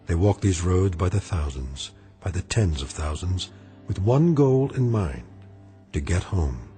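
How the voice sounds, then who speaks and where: A man narrating a radio drama in a solemn, grave and measured way. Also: low voice, sing-song, warm tone, soft background music.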